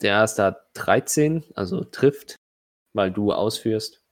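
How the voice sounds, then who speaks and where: A man speaking as game master, narrating a tabletop role-playing game casually and haltingly as he searches for words. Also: fairly low pitch, expressive, dry recording, studio quality.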